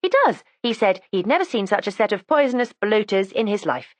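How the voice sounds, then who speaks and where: A woman's voice: mid-range voice, sing-song, brisk, no echo, pitched above the performer's own voice, lightly acted. A woman reading the part of a precocious, matter-of-fact teenage schoolgirl who chats candidly and primly. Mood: chatty. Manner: chatty, candid and prim.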